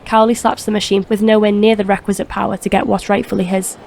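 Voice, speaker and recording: A woman's voice: mid-range voice, level tone, quick pace, vocal fry, a little mellow, slight background noise. A woman reading scripted narration for a crime audio drama.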